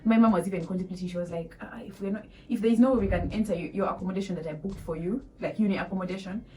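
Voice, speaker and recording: A young woman in her twenties with a Ugandan accent, telling a casual storytime to viewers, animated and laughing often. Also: medium pitch, racing, far from the mic, a little hiss or hum, rough recording. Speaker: vlogger. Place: small room indoors.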